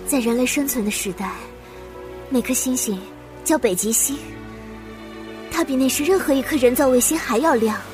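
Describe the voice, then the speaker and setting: A woman acts as a lonely young girl with a fairly high, gentle voice. She muses softly and wistfully, as in an audio drama, with music prominent behind her. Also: normal speed, pauses often, natural voice. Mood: earnest wonder. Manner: soft, musing, wistful. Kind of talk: radio drama acting. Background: loud music bed.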